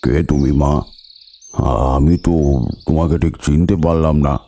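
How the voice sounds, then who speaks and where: A man playing a kindly elderly uncle in a cartoon drama, speaking haltingly in a gentle, fatherly way. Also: rumbling low, little pitch movement. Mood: puzzled. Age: older.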